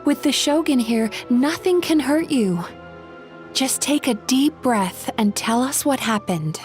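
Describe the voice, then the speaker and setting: An earnest young companion in an anime game, with a high, very animated voice. She is expressive and unhurried in her delivery, with faint music underneath. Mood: reassuring.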